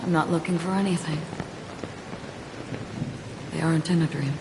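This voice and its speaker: Low, solemn wandering woman. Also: fairly even intonation, noisy background. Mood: guarded, quiet. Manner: grave, measured, understated. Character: solemn, enigmatic wandering swordswoman, melancholy and resolute. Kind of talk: restrained video game voice acting.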